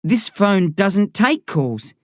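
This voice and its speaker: Guy reading as a sleepy scared teen boy, pitched up, slow and dry. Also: sing-song, no room sound, full character voice. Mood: tired scared. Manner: raised pitch, weary and confused. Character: tired, frightened thirteen-year-old boy.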